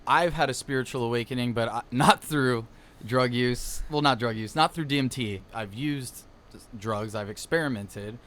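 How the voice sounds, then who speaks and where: A man's voice: medium pitch, moderate pace, fairly bright tone. A man in his thirties co-hosting a podcast, telling stories in an easygoing, laughing way. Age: 30s. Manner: easygoing storyteller, laughs while he talks. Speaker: podcast co-host. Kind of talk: casual chat.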